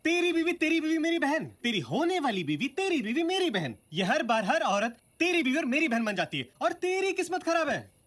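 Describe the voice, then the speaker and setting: A man's voice: sits high, big pitch swings, unhurried, pushed and bright, exaggerated voice. An actor playing a harried, sarcastic man in a comedy film, who stutters and repeats his words. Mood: sarcastic, exasperated. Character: harried, sarcastic brother-in-law passed off as stammering cook. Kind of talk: broad Hindi comedy film acting.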